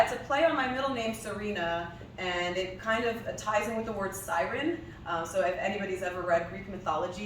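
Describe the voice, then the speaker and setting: A young woman from New Jersey whose first language is Hebrew, chatting casually in an interview about her music, laughing often and filling pauses with ums. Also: lowish voice, a little hiss or hum. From New Jersey, USA. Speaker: singer being interviewed. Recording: echoey room, voice from a distance.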